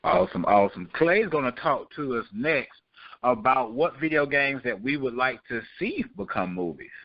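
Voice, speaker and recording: A man's voice: medium pitch, very animated, moderate pace, no room sound. A man co-hosting a podcast with casual, playful, emphatic banter. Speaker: podcast co-host. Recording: slightly dull, dry radio-like sound.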